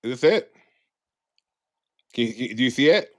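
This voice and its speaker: A man co-hosting a podcast, chatting in a sassy, slangy, animated way over a video call. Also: medium pitch, moderate pace. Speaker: podcast co-host. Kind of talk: casual chat.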